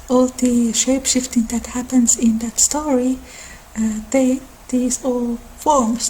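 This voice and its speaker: A woman who is not a native English speaker, giving a soft ASMR-style informal lecture in a halting way, as if explaining lore on her own channel. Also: fairly high pitch, a little hiss or hum, poor audio. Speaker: host of a mythology channel.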